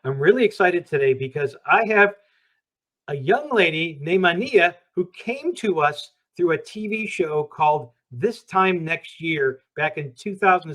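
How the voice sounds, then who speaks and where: A man's voice: a little high, a bit bright. A middle-aged man hosting an interview, asking questions in an upbeat, conversational way. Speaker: host, founder of a search service.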